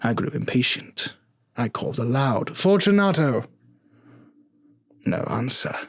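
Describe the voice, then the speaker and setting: A man playing a cold, vengeful nobleman who narrates a gothic horror story in a theatrical, formally phrased manner with a husky voice. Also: medium pitch, expressive, measured pace, no room sound, studio quality. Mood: cold, impatient. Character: cold, vengeful murderous nobleman recounting his crime.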